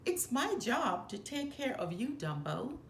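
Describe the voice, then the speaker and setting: Woman voicing an earnest, lively little boy mouse. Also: on the high side, unhurried. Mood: determined, caring. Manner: earnest, expressive storybook dialogue. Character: eager, devoted young male circus mouse. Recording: roomy echo, faint background noise.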